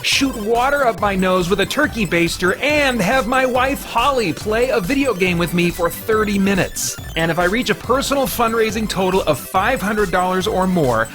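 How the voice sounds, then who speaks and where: A man with a high-pitched voice presents as the host of an online channel. He delivers an upbeat scripted pitch with playful self-deprecation. Music plays behind him. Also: expressive, moderate pace, hardly any pauses, fairly bright tone. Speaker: host of a geek-culture review channel. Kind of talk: upbeat scripted review outro and fundraiser pitch.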